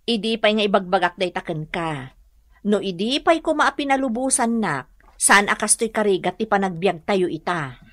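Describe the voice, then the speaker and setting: A woman's voice: medium pitch, expressive, normal speed, fairly bright tone, dry recording, close to an everyday voice. A woman playing a struggling but determined, hardworking wife in a radio comedy drama, earnest and plaintive, with a Northern Luzon Filipino accent. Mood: weary, earnest.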